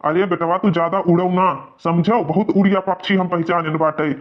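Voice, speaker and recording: A man's voice: high-pitched, flat delivery, normal speed, natural voice. A man voicing a quarrelsome, blustering village man in an Awadhi cartoon comedy, scolding in exaggerated dialogue. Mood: threatening, scornful. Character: blustering, quarrelsome village men of a feuding family. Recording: dull, lo-fi cartoon soundtrack.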